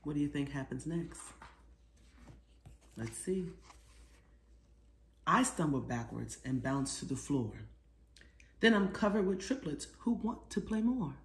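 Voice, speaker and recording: A middle-aged woman reading a children's storybook aloud expressively, pausing to put questions to her young listeners. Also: low voice, expressive. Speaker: children's author reading her own book. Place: small living room, seated on a sofa. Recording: roomy indoor echo.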